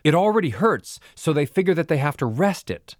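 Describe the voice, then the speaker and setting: A man's voice: fairly high pitch, big pitch swings, a little fast, no room sound. Man coaching voice, dry close sound. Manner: clear, coaching, demonstrates sounds. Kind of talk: instructional audiobook narration.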